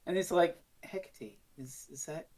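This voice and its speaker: A podcast co-host talks in a casual, conversational review style. The voice sits at a middle pitch and drops swear words freely. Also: a little slow, darkish tone. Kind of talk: casual chat.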